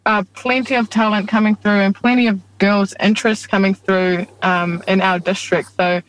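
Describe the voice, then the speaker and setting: A woman's voice: medium pitch, steady tone, normal speed, low-quality recording. Laid-back young woman rugby player from New Zealand. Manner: laid-back, chatty, laughs often, says "reckon" and "like". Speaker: rugby league player, team captain. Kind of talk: casual interview chat.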